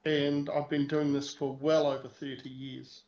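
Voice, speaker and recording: A man around sixty speaks plainly over a video link, with a relaxed, slightly joking tone. His delivery is steady and flat in pitch. The sound is muffled and echoey, like a remote call played into a chamber. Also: a little slow. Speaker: advisory panel member. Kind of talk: self-introduction at a council meeting. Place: remote, joining on screen. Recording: dull, roomy video-call audio.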